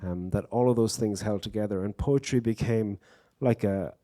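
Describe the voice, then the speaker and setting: A man's voice: fairly low pitch, normal speed, mellow. An Irish man, a poet, telling wry stories and reflecting in a live on-stage conversation at a bookstore event. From Ireland. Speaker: poet and guest speaker.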